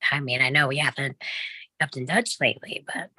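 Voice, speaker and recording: A woman's voice: a bass voice, steady tone, a little fast, sharp tone, breathy edge, no room sound, exaggerated voice. A woman playing a snubbed young friend with a dry, pointed manner in a sitcom table read. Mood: guarded.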